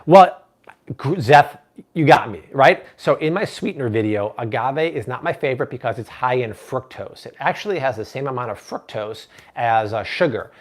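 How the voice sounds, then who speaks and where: A man in his thirties hosting a live cooking stream from a home kitchen, chatting with viewers and reading their comments. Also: mid-range voice. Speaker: cooking show host.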